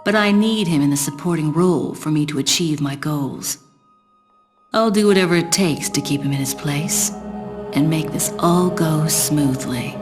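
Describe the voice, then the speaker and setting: A woman's voice: low voice, moderate pace, music in the background, natural voice. A woman voicing a cool, self-assured female spy in a video game cutscene, composed and slyly teasing. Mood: composed, confident.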